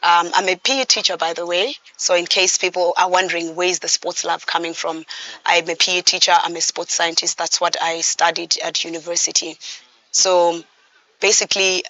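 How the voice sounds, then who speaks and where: A woman from Zimbabwe, a volleyball coach calmly telling her story as a talk show guest. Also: on the low side, normal speed, lo-fi sound. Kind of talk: interview answers.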